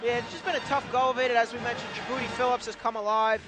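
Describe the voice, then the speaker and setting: High-voiced male basketball color commentator. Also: fairly even intonation, fast talker, over music. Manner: conversational, stat-citing asides. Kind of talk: live sports analysis. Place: indoor basketball arena.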